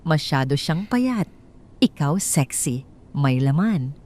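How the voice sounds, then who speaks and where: A woman reads the lines of a scheming, unfaithful husband in an audio drama, gleeful and boastful. His voice sits low and moves a lot in pitch. Each line carries open contempt for his wife. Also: big pitch swings, slow, a little mellow, heavily acted. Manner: boastful, sneering.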